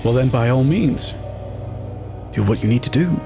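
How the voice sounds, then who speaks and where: Suave curator acted by a man, guarded, intrigued, dry formal delivery, mid pitch, tabletop game character, music behind. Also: lively intonation, normal speed, creaky.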